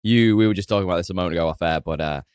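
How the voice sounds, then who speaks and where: Hungover podcast guy, kinda low voice, jokey, dry close sound. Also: racing, a little mellow, clear, resonant tone, no echo. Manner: chatty, jokey, addresses listeners. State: hung over, a little raspy. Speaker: podcast co-host. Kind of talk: casual podcast chat.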